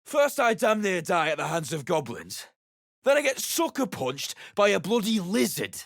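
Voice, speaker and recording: An actor voicing a hot-tempered, aggrieved townsman in a video game, shouting and complaining. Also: high voice, wildly swooping pitch, normal speed, creaky, no room sound, studio quality, heavily acted.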